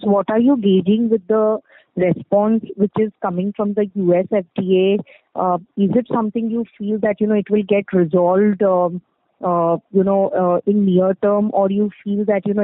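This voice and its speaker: A woman's voice: mid-range voice, dry recording. A woman with an Indian accent asking business questions as an analyst on a phone call, in a hesitant, rambling way. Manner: hesitant, rambling phrasing with frequent "you know". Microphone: telephone handset. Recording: tinny narrow phone line.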